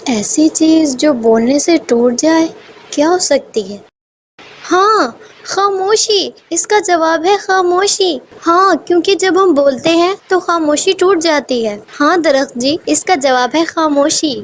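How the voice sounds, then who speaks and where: A woman voicing a gentle, timid female sparrow in a cartoon. She speaks politely and pleads. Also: extremely high pitch, a little hiss or hum. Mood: hopeful.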